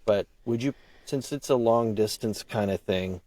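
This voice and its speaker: A man with a low-pitched voice talks casually as a guest on a podcast. He comes across as an earnest creator of audio dramas, feeling his way through his sentences a little haltingly. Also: a little mellow, no echo. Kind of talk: casual chat.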